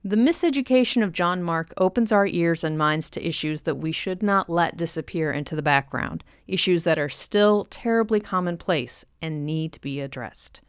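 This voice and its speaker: A woman's voice: fairly low pitch, normal speed, hardly any pauses, clear, resonant tone, no echo. Woman podcast host, very dry sound. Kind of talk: scripted introduction narration.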